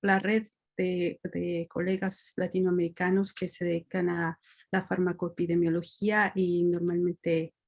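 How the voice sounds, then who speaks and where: A woman's voice: on the low side, little pitch movement, measured pace, gravelly. A woman moderating a webinar, explaining its aims steadily over a video-call mic. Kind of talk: introducing a webinar.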